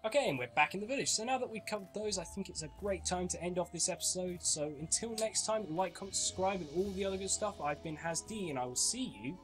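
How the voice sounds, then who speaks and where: A young man with a high voice talks his way through a game, explaining moves in a chatty, casual way. His voice is slightly off from a cold coming on. Soft music sits faintly under him at a home desk mic. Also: lively intonation, quick pace, few pauses. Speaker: gaming channel host. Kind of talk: casual gameplay commentary.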